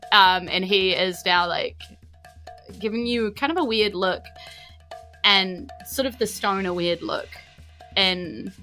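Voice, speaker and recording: A woman's voice: mid-range voice, a bit bright. A woman with a New Zealand accent narrating as game master in an informal, conversational, explanatory way.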